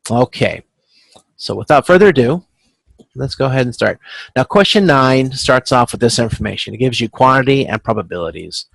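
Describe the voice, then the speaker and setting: A man with a creaky voice working as an instructor, giving an informal, explanatory step-by-step tutorial. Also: mid-range voice, lively intonation, fairly quick, a bit bright, no room sound. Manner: explanatory, checks in with frequent "okay". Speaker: instructor leading a supply chain class tutorial. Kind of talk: informal step-by-step tutorial over video conference. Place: close, dry-sounding video call.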